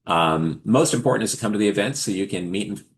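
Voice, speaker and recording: A man's voice: on the low side, expressive, quick pace, a little hiss or hum. A man hosting a live forum, answering questions in a casual, conversational advisory style and explaining with examples. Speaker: host of a live forum for investors and founders.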